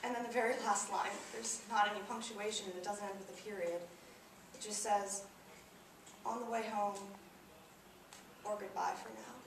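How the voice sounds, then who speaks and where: A woman's voice: medium pitch, steady tone, creaky, close to an everyday voice. Woman acting grieving soldier's mother in echoey hall. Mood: grave, tender. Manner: solemn, deliberate, halting phrases. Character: grieving mother of a fallen soldier, plainspoken, steadfast. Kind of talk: dramatic stage monologue. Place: indoor performance space, recorded from afar. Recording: very echoey hall, distant mic, faint noise.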